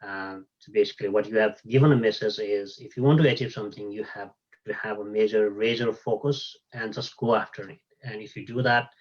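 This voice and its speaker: A man's voice: lowish voice, fairly even intonation, a little fast, highs cut off. A man hosting an online talk, thanking the guest and opening questions, with frequent fillers. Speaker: host. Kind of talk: online event moderation.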